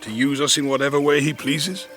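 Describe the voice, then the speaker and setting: A man playing a sceptical, gruff French army captain in an audio drama, blunt and wry. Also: fairly high pitch, fairly even intonation, brisk, fairly bright tone, soft background music, close to an everyday voice. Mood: amused, sceptical.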